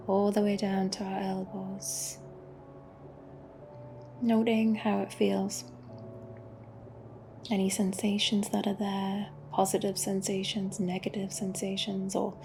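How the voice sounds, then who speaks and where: A woman leads a guided meditation gently and soothingly, in a soft, breathy voice that often drops close to a whisper. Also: medium pitch, slight background noise. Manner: gentle, unhurried, with long pauses. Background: music.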